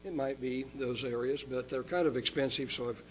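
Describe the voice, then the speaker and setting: An older man from Oklahoma preaching a sermon as a pastor, folksy and chatty, slowing down for emphasis. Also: fairly even intonation, a little hiss or hum. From Oklahoma, United States. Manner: folksy and chatty, with chuckles and fillers; slows and rises in pitch for emphasis.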